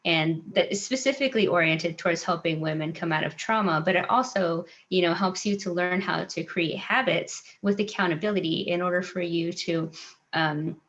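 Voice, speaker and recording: A woman life coach speaking as a guest on a panel, soft-spoken and reflective, pausing often with "um" and "like.". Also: lowish voice. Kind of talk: casual panel chat. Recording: dull lo-fi audio.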